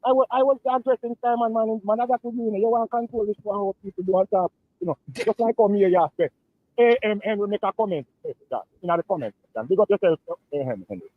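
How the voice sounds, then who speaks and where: A man's voice: high voice, dry recording. A man with a Jamaican accent calling in by phone, arguing and lecturing the hosts. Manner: argumentative, lecturing, rapid-fire. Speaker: phone-in caller. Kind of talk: heated call-in argument. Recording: tinny dry phone line.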